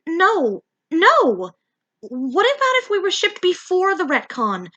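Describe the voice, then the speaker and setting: A woman's voice: very high-pitched, huge pitch swings, takes it slowly, sharp tone, highs cut off, voice pushed up, heavily acted. A woman voicing an indignant teenage female fox fairy in a story, with incredulous, whiny phrasing. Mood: protesting.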